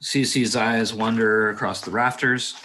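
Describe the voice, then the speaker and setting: Bright-voiced man reading stage directions, low pitch. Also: steady tone, a little slow, a little hiss or hum. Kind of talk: scripted narration at a table read.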